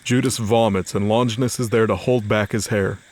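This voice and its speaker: Low-voiced male storyteller. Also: fairly even intonation, moderate pace, slight background noise. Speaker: narrator. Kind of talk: scripted audio drama narration.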